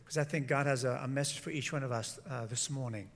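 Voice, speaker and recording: A middle-aged man from San Francisco preaching as a guest in an informal, halting, conversational way, often correcting himself. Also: mid-range voice. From San Francisco, United States. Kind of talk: informal church talk with personal testimony. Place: large room, church service. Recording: echoey hall with long reverb.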